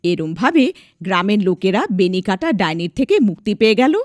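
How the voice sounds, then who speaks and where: A woman narrating a scripted animated folk tale as its storyteller. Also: mid-range voice, fairly quick, dry recording, clean studio sound.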